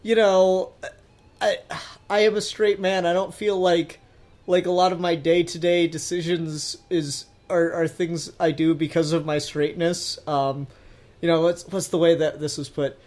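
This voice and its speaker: A man's voice: fairly high pitch, level tone, unhurried, darkish tone. A young adult man hosting a podcast in casual chat, with teasing, sweary banter. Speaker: podcast host.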